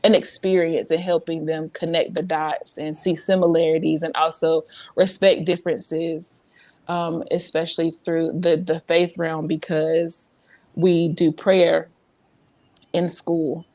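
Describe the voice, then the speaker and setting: A woman's voice: low-pitched, level tone, a little slow, darkish tone. A young woman from South Carolina with a light Southern accent, talking earnestly and reflectively as a podcast guest, with many restarts. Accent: Southern American. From South Carolina, USA. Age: young adult. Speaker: podcast guest, teacher abroad. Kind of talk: casual podcast conversation.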